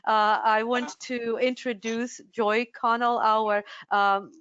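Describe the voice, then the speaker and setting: Brief: woman host, hesitant with lots of pauses, higher clear voice, dry dull call sound. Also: little pitch movement, fairly bright tone, dry recording. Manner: hesitant, many filler pauses. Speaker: webinar host. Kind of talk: welcoming introductions on a video call. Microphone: video call. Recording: dull lo-fi video call audio.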